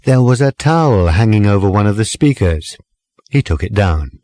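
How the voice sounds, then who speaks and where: A man reading comic fiction as a measured, expressive audiobook narrator. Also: lowish voice, no room sound, clean studio sound. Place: dry studio.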